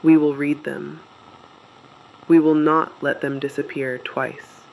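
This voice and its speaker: A performer playing a grave, steadfast resistance radio broadcaster, speaking solemnly in deliberate short phrases as scripted dystopian narration. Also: mid-range voice, level tone, vocal fry, darkish tone, low-quality recording. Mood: grave, steady.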